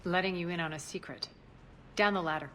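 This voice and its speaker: An actress playing a ruthless, commanding alien crime boss in a video game, giving clipped, impatient orders in an imperious manner. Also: female voice, fairly low pitch, a little fast, fairly bright tone, soft background music, natural voice, rough recording. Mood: cool, assured. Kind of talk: video game dramatic acting.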